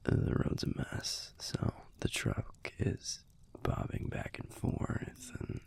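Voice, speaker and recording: A man playing a gruff but fond young werewolf boyfriend in an intimate audio roleplay, telling a story in a hushed, halting, husky and gravelly voice. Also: whispered, lightly acted. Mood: wistful, amused. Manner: hushed, halting, conversational delivery with pauses.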